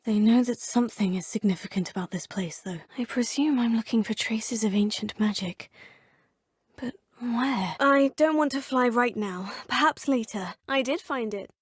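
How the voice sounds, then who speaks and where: A courteous young witch student speaks with formal, polished phrasing. Her voice sits a little high and carries a soft, breathy edge. The close studio recording is dry, with no room sound. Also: moderate pace, no room sound. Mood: polite, curious. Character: young female witch student, curious and polite. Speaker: player character. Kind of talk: video game voice clips. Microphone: close studio mic.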